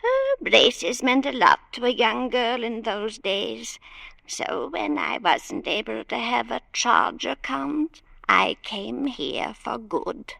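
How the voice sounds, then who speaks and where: An actress playing an imperious, genteel old matriarch in a radio drama, grand, querulous and condescending, with a quavering old voice. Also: female voice, fairly high pitch, big pitch swings, slow, vocal fry, sharp tone, no echo, full character voice, poor audio.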